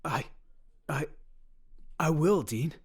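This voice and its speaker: A man's voice: a little high, expressive, slow pace, husky, heavily acted. A man playing a self-important, oblivious restaurant worker in a comedic radio play, brash and flippant.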